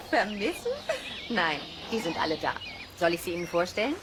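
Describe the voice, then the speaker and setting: A woman playing a friendly, confident wolf keeper in a children's radio play, speaking in a brisk, assured, plain way. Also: fairly low pitch, big pitch swings. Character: friendly, confident woman who looks after wolves. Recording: outdoor ambience noise behind voice. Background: outdoor nature sounds, birds, animals.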